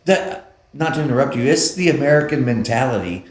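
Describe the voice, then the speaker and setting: A man's voice: mid-range voice, lively intonation, fairly quick, reverberant, rough recording. A man hosting a podcast interview, asking questions hesitantly with many "uh"s.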